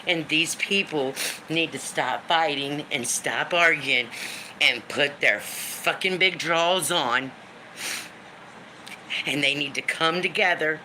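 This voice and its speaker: A woman in her fifties with a deep smoker's voice, venting on a live stream in a blunt, emphatic way, swearing freely and repeating lines for emphasis. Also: pushed and bright, slight background noise, low-quality recording. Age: 50s. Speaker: livestream host. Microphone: phone or webcam for a live stream.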